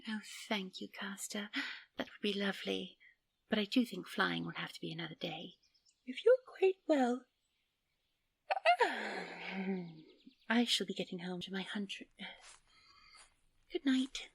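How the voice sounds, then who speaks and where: A woman playing a playful, polite fey princess in a fantasy audio roleplay, a little hesitant and impertinent, with a breathy voice. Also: medium pitch, a little slow, long pauses. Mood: grateful, polite. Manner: hesitant, courteous, prone to blurting.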